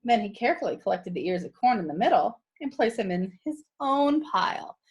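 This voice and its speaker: A woman reading a picture book aloud to children in a teacherly, expressive way, pausing to ask them questions. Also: fairly high pitch, husky. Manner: animated, teacherly. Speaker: read-aloud storyteller for children.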